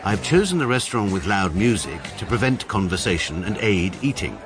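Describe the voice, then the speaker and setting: An actor playing a pedantic, self-mocking young barrister who narrates a comic radio drama in a deadpan, precise manner. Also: male voice, deep voice, a little fast, music in the background. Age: young adult. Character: dry, pedantic male barrister narrating his life.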